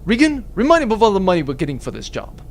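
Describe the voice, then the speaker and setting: A man's voice: high-pitched, wildly swooping pitch, quick pace, creaky, heavily acted. Man playing a flamboyant, swooping space captain. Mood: breezy bravado. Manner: grandiose, theatrical, flippant. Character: flamboyant, cocky, glib male spaceship captain. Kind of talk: comedic sci-fi audio drama acting.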